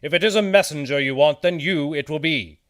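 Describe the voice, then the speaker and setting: A man voicing a busy, pompous, dismissive town mayor in a children's storybook, impatient and loudly declaiming. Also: a little high, brisk, voice pushed up, natural voice. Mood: haughty, dismissive.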